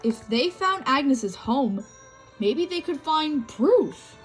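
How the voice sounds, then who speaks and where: A woman's voice: high-pitched, sing-song, slow, faint music behind, low-quality recording. A woman narrating a children's storybook read-aloud, telling the tale expressively. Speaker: storybook reader.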